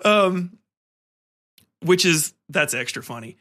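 A man podcast host giving a casual, rambling film review, enthusiastic and profane, often halting and repeating himself. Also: a little high. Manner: animated, enthusiastic; profane, slangy.

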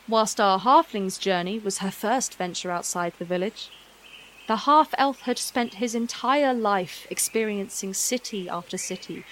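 A woman's voice: medium pitch, fairly bright tone, low-quality recording. Female storyteller for scripted fantasy narration, medium register, light ambient noise underneath. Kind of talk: scripted fairy-tale narration.

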